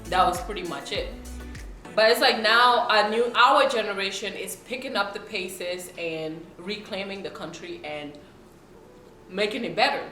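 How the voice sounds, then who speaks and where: A woman's voice: mid-range voice, unhurried, sharp tone. A young woman from South Sudan chatting casually to camera as a vlogger while she eats, often checking in with her viewers. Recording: roomy room with faint background music.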